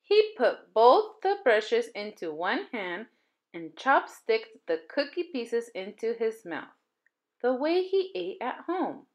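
A woman reading a children's picture book aloud as a storyteller, in an expressive, deliberate style made for young children. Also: a little high. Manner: deliberate, animated storytelling delivery.

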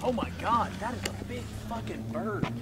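A young man exploring an abandoned factory with a friend, talking in an excitable, eager way and swearing often. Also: sits high, normal speed, music in the background. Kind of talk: casual chat. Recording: picked up by a camera mic with outdoor noise.

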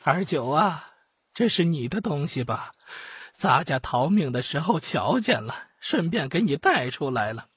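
A man's voice: fairly high pitch, big pitch swings, a little slow, a bit bright, slightly rough, no room sound, pitched above the performer's own voice, exaggerated voice. A man voicing a wily, chummy court eunuch with a grand, folksy manner in an audiobook. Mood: confiding.

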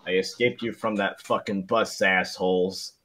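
A man's voice: sits low, steady tone, moderate pace, fairly bright tone, dry recording. A man voicing a crude, grumbling goofball ninja in a comedic cartoon fandub, complaining and sweary.

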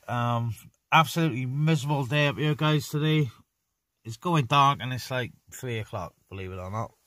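A man's voice: mid-range voice, a bit bright, no echo. A man chatting casually as an amateur CB radio operator, recorded inside a parked car.

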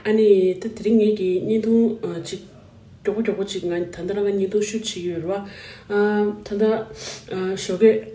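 A woman in her thirties talking fast and animatedly to camera as a vlogger, indoors. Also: medium pitch, roomy sound, far from the mic. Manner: rapid, animated, talks with her hands. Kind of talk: casual vlog talk. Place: indoors, small room. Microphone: camera in front of her.

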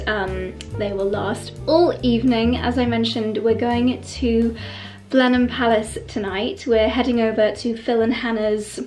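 A woman in her thirties, vlogging to a close camera in a bright bedroom, chatty and gushing, a little stuffy from a cold. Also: a little high. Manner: gushing and chatty, with light laughs. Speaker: lifestyle vlogger. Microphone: camera held close.

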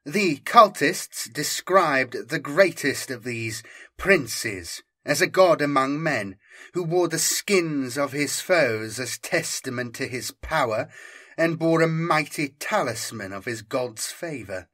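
A man narrating a science fiction audiobook in a measured, deliberate, dramatic storytelling manner. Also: fairly high pitch, animated, sharp tone.

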